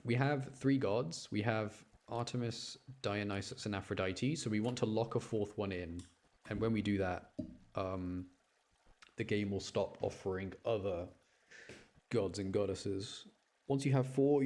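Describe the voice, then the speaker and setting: A man's voice: on the low side, a little slow, a little mellow. A man giving casual, chatty live game commentary, thinking aloud and explaining choices to his chat in an apologetic way. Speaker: game streamer talking to chat.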